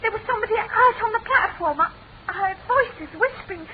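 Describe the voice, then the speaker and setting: A scared young woman stammers defensively in a radio drama, her very high voice swinging up and down. She sounds breathless. The recording is tinny and noisy. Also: expressive, fairly quick. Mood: frightened. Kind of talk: British radio drama acting.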